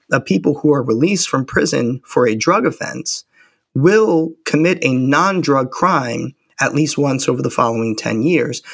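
American male interview guest, analytical and precise, crackly low-end fry, very dry sound. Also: no echo. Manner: argumentative, analytical, precise with figures. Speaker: interview guest, policy researcher. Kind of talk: explanatory interview talk.

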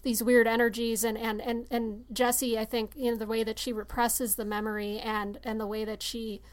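A woman's voice: on the high side, flat delivery, moderate pace. A woman discussing a film casually, talking in an informal, earnest and analytical way. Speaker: podcast panelist.

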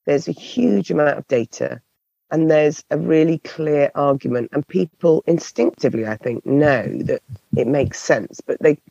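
A woman with a croaky, hoarse voice, answering interview questions thoughtfully and often repeating words as she searches for phrasing. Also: deep voice, moderate pace, mellow, no room sound, dull audio. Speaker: podcast guest.

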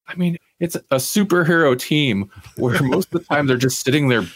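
A man's voice: fairly high pitch, normal speed, slightly rough, no echo. A man co-hosting a TV review podcast, chatting casually in a rambling, opinionated way with frequent asides. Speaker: podcast co-host reviewing TV series. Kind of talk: casual chat.

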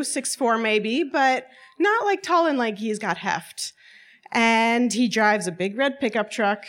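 A woman in her twenties telling a personal story to a live audience on stage, wry and often laughing as she talks. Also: a little high. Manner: lively, wry, often laughing through lines.

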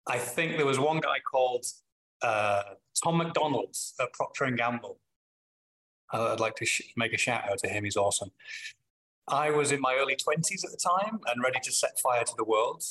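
A middle-aged man with a Manchester accent talks in a bright, forceful voice. He answers thoughtfully, pausing often and restarting his sentences as he works out what he wants to say. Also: medium pitch, rough recording. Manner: thoughtful, with frequent pauses and restarts. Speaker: business leader guest. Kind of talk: reflective interview answers.

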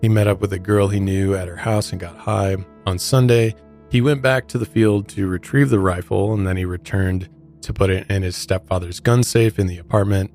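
A man's voice: sits low, steady tone, moderate pace, a little mellow, faint music behind. An American man hosting a podcast, telling a true crime story in a conversational narrating style. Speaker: podcast host.

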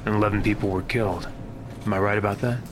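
An actor playing a composed, polite investigator who probes carefully in a sci-fi audio drama. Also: male voice, fairly even intonation, noisy background, natural voice. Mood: probing. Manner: polite, measured, inquisitive. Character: composed, probing male government investigator. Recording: loud rumbling industrial ambience.

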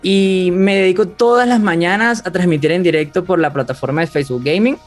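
A man's voice: high voice, brisk, faint music behind. A man telling his own story as a podcast guest. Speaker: podcast guest, streamer and content creator. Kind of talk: personal storytelling.

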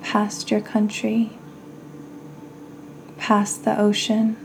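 A woman hosting a guided meditation, speaking soothingly in short phrases with long pauses and breathy endings. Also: mid-range voice, completely flat delivery, background noise. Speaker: podcast host and meditation guide.

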